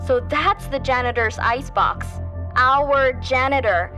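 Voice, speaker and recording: An actress playing a shaken teenage high school girl, giving candid first-person testimony in a casual, youthful way. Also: unhurried, pushed and bright, soft background music. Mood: stunned. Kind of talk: dramatic first-person testimony in audio drama.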